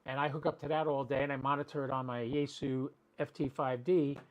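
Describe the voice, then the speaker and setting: A man's voice: medium pitch, little pitch movement, brisk, highs cut off. A man chatting casually and rambling as he hosts an amateur radio livestream, talking directly to his viewers.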